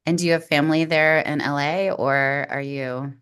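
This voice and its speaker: A woman hosting a podcast, upbeat and brisk, asking questions and dropping in quick acknowledgments. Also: low voice, steady tone, a bit bright, clear, resonant tone. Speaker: podcast host. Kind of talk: podcast interview.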